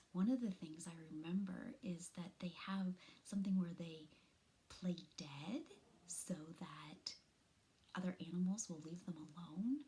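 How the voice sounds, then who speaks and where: A middle-aged woman reading a story aloud to children in an expressive, deliberate manner. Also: fairly low pitch. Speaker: children's story reader, teacher. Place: small room at home, indoors.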